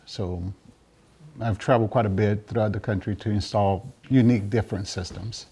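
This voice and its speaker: A man from Mexico giving casual interview answers about his trade, often halting as he searches for words. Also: lowish voice, fairly even intonation, warm tone, husky. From Juarez, Mexico. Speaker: interviewed draft beer system installer and business owner.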